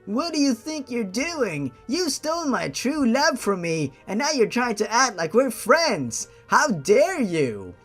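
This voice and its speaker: A man's voice: very high voice, very animated, slow, hardly any pauses, a bit bright, faint music behind, voice pushed up, heavily acted. A man voicing a jealous, ranting young man with theatrical indignation in a story reading. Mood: indignant.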